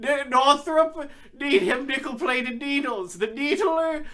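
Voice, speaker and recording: A woman's voice: high-pitched, slow, pushed and bright, exaggerated voice. A woman playing a gushing sponsor announcer in a parody of old radio advertisements. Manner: salesy, tongue-twisting.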